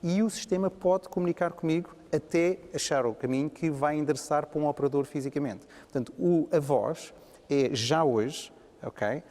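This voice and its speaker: A man's voice: fairly high pitch, moderate pace, a little mellow, long reverb tail. A man with a European Portuguese accent speaking on a business panel, emphatic and enthusiastic. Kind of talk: panel discussion.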